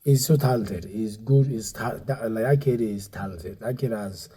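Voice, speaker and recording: Nigerian man, dark husky voice. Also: on the low side, moderate pace. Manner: animated, loose, many fillers and repeated words. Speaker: comedian and comedy club owner. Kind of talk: casual interview chat.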